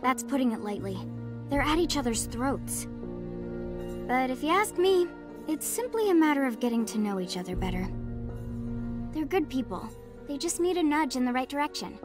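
Game role: kindly young female soldier, optimistic, encouraging, very high animated voice. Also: moderate pace, pauses often, over music. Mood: concerned, encouraging. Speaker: video game character. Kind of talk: English game voice acting.

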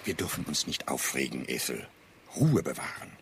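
Radio drama: blustering fugitive doctor, low and gravelly, irritable and sarcastic. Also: male voice, very animated, normal speed, a little hiss or hum, full character voice. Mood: sardonic, tense. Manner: sarcastic, dismissive. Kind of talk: German radio drama acting.

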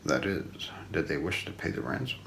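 Deep, gravelly man narrating true crime ASMR. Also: sing-song, normal speed, a bit bright, a little hiss or hum. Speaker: narrator of an unsolved-mystery story. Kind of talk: ASMR scripted narration, true-crime documentary role play. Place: small indoor room.

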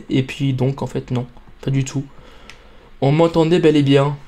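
A man's voice: medium pitch, fairly even intonation, measured pace, mellow. A young man giving casual gameplay commentary in a playful, self-mocking way. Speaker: let's play gamer.

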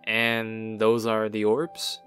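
A man playing a dry, reserved young man in a cartoon comic dub, with a terse, deadpan way of talking. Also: on the low side, slow, clear, resonant tone, faint music behind. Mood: dry, mildly irritated. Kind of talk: animated comic dub acting.

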